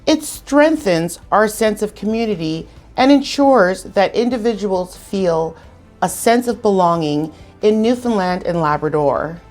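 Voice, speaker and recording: A woman hosting a virtual community event, reading a measured scripted introduction. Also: medium pitch, lively intonation, a little mellow, faint music behind. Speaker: event host.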